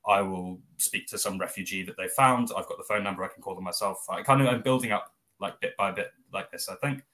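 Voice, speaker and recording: Fast-talking low-voiced man. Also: sharp tone. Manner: fast, rambling with many fillers. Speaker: interview guest, press office founder. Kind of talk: casual spoken interview.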